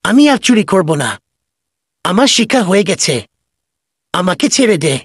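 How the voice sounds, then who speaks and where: A man's voice: high voice, expressive, moderate pace, background noise. A man playing a sly, cheeky village goat thief in a comedy cartoon, with a theatrical manner. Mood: pleading. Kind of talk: cartoon voice acting.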